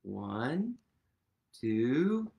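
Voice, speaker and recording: A man in his thirties reading a picture book aloud to young children, expressive and full of questions for his listeners. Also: medium pitch, drawn-out words, creaky. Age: 30s. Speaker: host, museum literacy educator reading aloud. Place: indoors, small quiet room at home.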